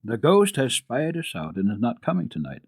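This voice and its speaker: A man's voice: mid-range voice, big pitch swings, moderate pace, breathy edge, dry recording. Man acting as jovial older doctor, storybook character, amused old friend, dry sound. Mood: hushed alertness.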